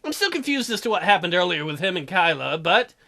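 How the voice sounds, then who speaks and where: A man's voice: sits high, sing-song, a little fast, gravelly, bright, forceful tone. A man hosting a gaming video, reading narration aloud and adding joking asides. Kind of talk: narrating and joking through a visual novel.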